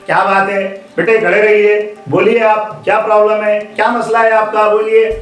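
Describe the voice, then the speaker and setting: A man's voice: fairly high pitch, animated, moderate pace, fairly bright tone, roomy sound, distant mic, soft background music. A man voicing an agreeable monkey chief who leads his troop, emphatic and questioning in a children's story. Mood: concerned, questioning.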